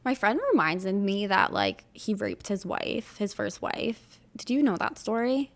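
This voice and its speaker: Woman, podcast co-host, creaky vocal fry, halting casual delivery, lots of "like", conversational. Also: mid-range voice. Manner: halting, heavy use of "like". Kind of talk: casual conversational political chat.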